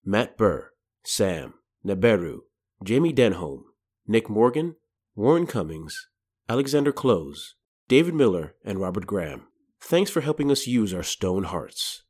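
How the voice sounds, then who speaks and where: A man's voice: fairly low pitch, big pitch swings, measured pace, a little mellow, slightly rough. A man casually announcing and introducing things as a podcast host.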